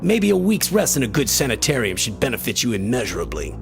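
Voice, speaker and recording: A male actor playing a concerned, skeptical gentleman who also narrates a horror radio play in a measured, formal way. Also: medium pitch, huge pitch swings, vocal fry, faint music behind. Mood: disdainful concern.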